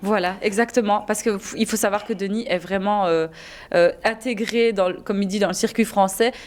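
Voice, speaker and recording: A woman's voice: medium pitch, fairly even intonation, fairly quick, a little mellow, a little hiss or hum. A woman hosting a web TV interview, upbeat and encouraging, reacting often and searching for words with fillers. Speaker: interview host.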